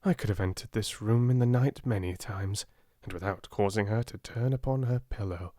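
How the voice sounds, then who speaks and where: A man voicing a humble, devoted Indian manservant telling things gently in a storybook, measured and deferential. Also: fairly low pitch, no room sound, clean studio sound, lightly acted. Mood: quietly confident.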